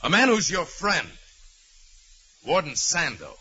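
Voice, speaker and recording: Bold, forceful negotiator in vintage radio drama. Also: male voice, a little high, sing-song, breathy edge, exaggerated voice. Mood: urgent, forceful. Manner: firm, deliberate, persuasive. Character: calm, bold man negotiating with armed convicts. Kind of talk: 1940s radio drama acting. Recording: muffled, noisy 1940s radio recording.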